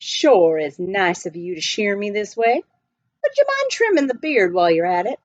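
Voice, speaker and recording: A woman voicing a friendly, rough-hewn young gold miner in folksy frontier dialect for a children's storybook. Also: medium pitch, big pitch swings, moderate pace, no room sound, dull audio, lightly acted. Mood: chatty. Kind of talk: children's storybook read aloud.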